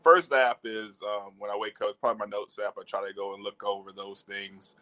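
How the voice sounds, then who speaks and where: A man's voice: fairly low pitch, steady tone, brisk, pushed and bright. A young man from Alabama chatting casually and informally as an interview guest. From Alabama, United States. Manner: informal, peppers talk with "you know". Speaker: interview guest, media professional. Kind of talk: casual chat.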